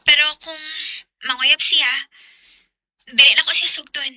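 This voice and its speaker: A young woman in a radio drama, with a high, piercingly bright voice. She is sincere and direct, recorded close and dry. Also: steady tone, measured pace, no room sound, heavily acted. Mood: earnest. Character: Aya, frank, sincere young woman confessing her love. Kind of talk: Bisaya radio drama acting.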